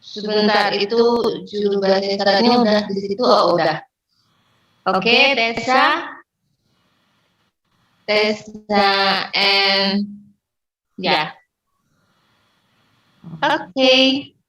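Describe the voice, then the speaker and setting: Slow, halting Indonesian woman coordinating. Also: medium pitch, fairly bright tone, recorded from a distance, poor audio. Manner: halting, searching for words. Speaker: event organiser. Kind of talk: casual technical coordination before a livestream.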